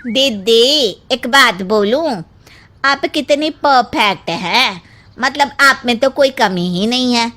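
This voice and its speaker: A woman plays a housemaid in a cartoon tale who longs to be beautiful. The voice is very high and sharp, drawn out slowly, with a gushing, plaintive way of flattering. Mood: gushing admiration. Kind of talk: cartoon family story acting.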